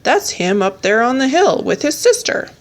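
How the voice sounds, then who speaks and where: A woman voicing an ordinary, helpful man in a storybook, plain-spoken and unhurried. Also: fairly high pitch, big pitch swings, pitched above the performer's own voice.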